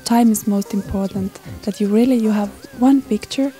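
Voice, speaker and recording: Woman, medium pitch, reflective, short halting phrases, informal interview, clear music underneath. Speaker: photographer and artist being interviewed.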